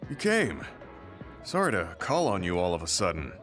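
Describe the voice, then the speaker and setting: A man's voice: deep voice, wildly swooping pitch, normal speed, over music. A man playing an earnest, serious comrade in an English game dub, speaking in a subdued, sincere way. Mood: remorseful.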